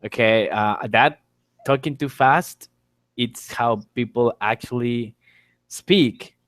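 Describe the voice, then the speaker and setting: A man's voice: medium pitch, takes it slowly, fairly bright tone, no echo. A man teaching an informal online exam lesson, explaining things step by step and repeating his phrases. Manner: explanatory, repeats phrases and spells letters aloud. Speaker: English teacher, exam tutor. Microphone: online call microphone.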